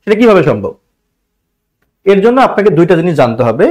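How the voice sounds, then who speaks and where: Male teacher, Bangladeshi accent, fairly high voice, instructional, step-by-step, repetitive, rhetorical questions. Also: very animated, fast, darkish tone. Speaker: English grammar teacher. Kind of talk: instructional lesson for exam students.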